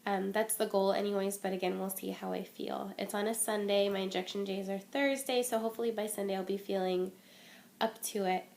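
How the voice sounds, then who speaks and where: A woman's voice: medium pitch, fairly even intonation, a little fast, vocal fry, darkish tone, slight background noise. A young woman chatting candidly to camera from home in a casual vlog update, her voice a bit stuffy and worn from a cold. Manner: chatty and candid, many ums and restarts. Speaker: vlogger. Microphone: phone or webcam.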